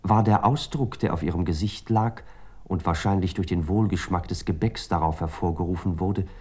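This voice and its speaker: An actor playing a reflective, ironic literary narrator in a radio play, speaking in measured, articulate, long sentences. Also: male voice, low voice, a little mellow, slightly rough. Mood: measured, gently ironic.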